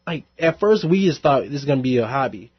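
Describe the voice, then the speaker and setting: A man's voice: medium pitch, lively intonation, fast talker, husky, no echo. Young rapper guy chatting on college radio, laughs a lot, dry studio, kinda dull sound. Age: young adult. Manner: laid-back, laughs often, rambling with fillers. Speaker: rapper guest. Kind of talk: casual interview chat.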